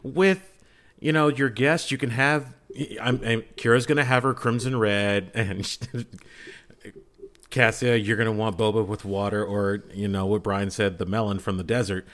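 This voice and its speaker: A man's voice: lowish voice, steady tone, moderate pace, fairly bright tone. A man on a podcast panel chatting casually, teasing and throwing questions at the others. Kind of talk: casual, joking group chat.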